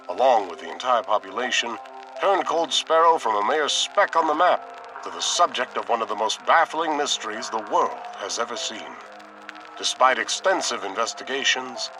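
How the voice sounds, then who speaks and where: A man's voice: mid-range voice, far from the mic, close to an everyday voice, low-quality recording. An actor playing a formal, portentous male presenter narrating a scripted mystery documentary broadcast. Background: music.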